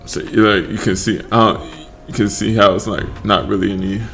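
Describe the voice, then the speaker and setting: A man casually chatting on a livestream while he paints, relaxed and slurring his words together, trailing off mid-sentence. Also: lowish voice, normal speed. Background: faint lo-fi background music.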